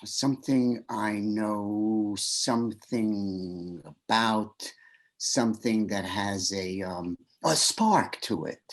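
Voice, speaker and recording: A man's voice: fairly low pitch, slow. An actor playing a shy, nervous man who stammers and coaches himself through small talk, in a stage comedy. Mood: flustered. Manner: flustered, stammering, self-coaching. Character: shy nervous man named Pete at a gallery. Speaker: actor in a one-act play. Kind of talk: stage comedy inner monologue. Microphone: video call.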